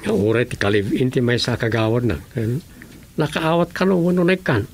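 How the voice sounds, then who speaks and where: A man's voice: mid-range voice, lively intonation, a little fast, slightly rough. An actor with an Ilocano Filipino accent playing a grumbling village man in a radio comedy drama. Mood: grumbling, explaining.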